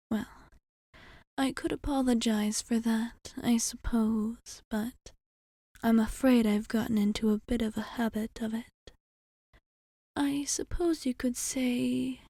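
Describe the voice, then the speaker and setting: A woman voicing a courteous, teasing vampire bartender in an ASMR roleplay, with a soft, breathy half-whisper and measured, formal phrasing. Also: a little high, no room sound, clean studio sound. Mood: teasing, flirtatious. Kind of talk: ASMR roleplay, intimate monologue.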